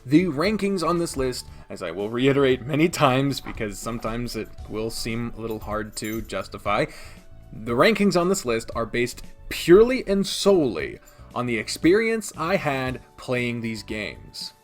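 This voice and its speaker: A man with a mid-pitched voice that moves up and down in a lively way. He talks casually as a reviewer, sardonic and quick to swear, often cutting into his own sentences. Faint music plays behind him. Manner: sardonic, sweary, self-interrupting. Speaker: video reviewer and podcast host. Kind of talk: casual opinionated countdown commentary to camera.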